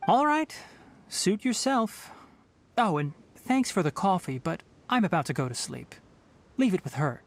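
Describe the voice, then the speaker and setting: Measured, earnest young forest ranger. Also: male voice, high-pitched, very animated, slight background noise, full character voice. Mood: calm, kindly. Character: thoughtful, principled young forest ranger and former scholar. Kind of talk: video game dialogue acting.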